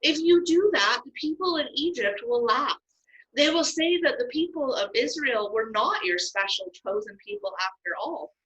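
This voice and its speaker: Woman reading Moses, earnest male leader, pleading then firm, lifted pitch, bright tone. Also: animated, moderate pace, lo-fi sound.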